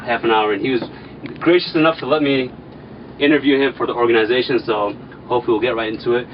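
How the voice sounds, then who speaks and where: A man's voice: medium pitch, fairly even intonation, fast. A young man from the American Midwest interviewing a guest in a laid-back, slangy way, with rambling questions. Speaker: interviewer, nonprofit president. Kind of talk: casual interview. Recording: distant, dull, noisy.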